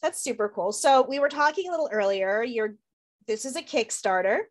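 A woman's voice: on the high side, lively intonation, brisk. A middle-aged woman hosting a casual interview over a video call from home, informal and enthusiastic. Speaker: interviewer, host. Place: attic room at home, indoors, on a video call. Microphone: video call computer microphone.

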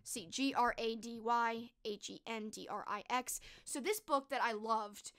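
Hoarse, high-voiced chatty woman. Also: a little slow, fairly bright tone. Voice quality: strained, croaky. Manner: chatty, enthusiastic, frequent "like" fillers. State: losing her voice, hoarse. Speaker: streamer reviewing books and movies. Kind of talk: casual chat. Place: small bedroom with posters, indoors. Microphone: large studio microphone close in front.

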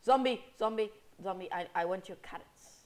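A man's voice: high voice, moderate pace, a little hiss or hum. A young man with a sniffly head cold, casually commenting on a game he is playing, rambling and correcting himself as he goes. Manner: rambling, self-correcting, mock-angry at enemies. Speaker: gameplay commentator.

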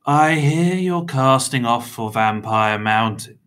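Man playing a smug little villain, forcefully bright. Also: medium pitch, lively intonation, clean tone, pitched above the performer's own voice. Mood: smugly jovial. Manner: soft-spoken menace, drawn-out mocking politeness. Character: menacing little man, smug, falsely jovial, meddling villain.

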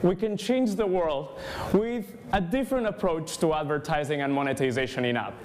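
A young man from Spain with a Spanish accent, giving an energetic conference talk and speaking straight to the audience. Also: a little high, moderate pace, slight background noise. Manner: energetic, engaging, addresses the audience directly. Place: large conference room, indoors.

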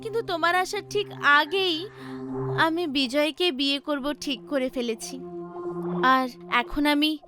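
Woman acting a sweet candid young cartoon girl, high voice, slow theatrical lines, music under it. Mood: gentle, candid. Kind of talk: animated story dialogue.

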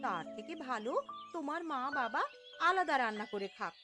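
A woman's voice: high-pitched, lively intonation, normal speed. A woman voicing a stubborn, demanding young daughter-in-law in a cartoon, whiny and argumentative. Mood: defiant, stubborn. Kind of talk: Bengali cartoon voice acting. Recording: loud music behind the voice.

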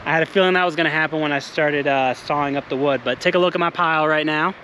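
A young man vlogging from a pine forest campsite, his voice sitting a little high as he rambles on in a relaxed, chatty way. The camera is held close, and a faint outdoor hiss sits behind him. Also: fast talker. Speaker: camping vlogger. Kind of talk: casual self-filmed vlog. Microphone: camera held close or set on the ground.

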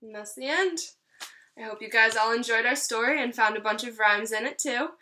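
A young woman teacher reads aloud for small children in a storytelling manner. Her voice is fairly high and bright, recorded indoors at about arm's length. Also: fairly quick. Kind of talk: children's picture-book read-aloud. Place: small room at home, indoors.

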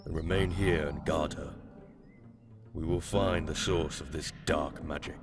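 An extremely deep, slow fantasy mage, grave and foreboding. He speaks in solemn, terse phrases over clear background music. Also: steady tone. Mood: grave, ominous. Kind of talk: fantasy audio drama acting. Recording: music under the voice.

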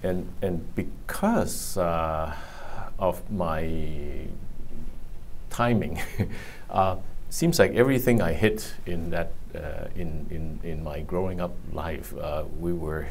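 A man in his sixties from Hong Kong, reminiscing haltingly in an oral history interview, pausing to think as he talks. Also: low-pitched, creaky, a little hiss or hum. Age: 60s.

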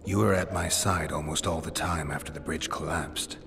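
An actor playing a gruff, hardened, sardonic monster hunter held prisoner in a video game, with a dry deadpan delivery. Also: male voice, a bass voice, moderate pace, in a large hall, background noise. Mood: dry, wary. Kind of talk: dramatic video game acting.

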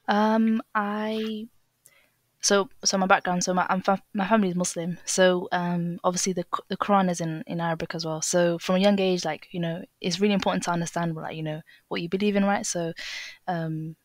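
A young English woman with a lowish voice talks casually as a podcast guest. She hesitates and restarts often, padding with fillers. The recording is dry and close. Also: fairly even intonation, a little fast, dry recording. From England. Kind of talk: casual interview chat.

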